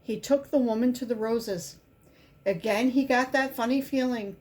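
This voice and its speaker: A woman reading a children's picture book aloud as a volunteer, in simple, deliberate storytelling with emphasized key words. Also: on the high side, a little mellow.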